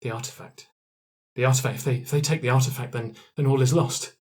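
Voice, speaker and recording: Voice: medium pitch, steady tone, fast, darkish tone, close to an everyday voice. A game master voicing a frightened female merfolk scout, stammering and pleading with breathless urgency. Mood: anxious urgency.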